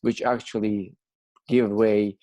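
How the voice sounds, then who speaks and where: A man in his thirties with a Bosnian accent answers interview questions in an explanatory, academic way. His pitch is on the low side. The sound is dry, with no room echo. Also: little pitch movement, slow, warm tone. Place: indoors, video call.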